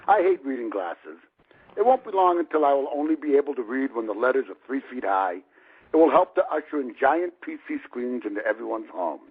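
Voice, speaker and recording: A man's voice: medium pitch, slightly rough. A man in his fifties, an author reading his own book prologue aloud in a wry, conversational manner. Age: 50s. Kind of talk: audiobook narration of a personal prologue. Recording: muffled, lo-fi radio-like sound.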